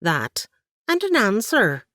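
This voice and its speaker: Woman putting on a sharp irish aunt, lilting and probing, kind underneath. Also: medium pitch, huge pitch swings, slow pace, dry recording, studio quality, voice pushed up, heavily acted. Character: widowed Irish aunt, shrewd, stern but kind.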